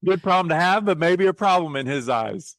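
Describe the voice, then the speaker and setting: A male interviewer with a voice a little higher than most men's talks in an informal, agreeable way. He frames his thoughts aloud in a conversational business style, recorded dry with very little room sound. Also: lively intonation, fairly quick, fairly bright tone, no room sound. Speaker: show host, interviewer.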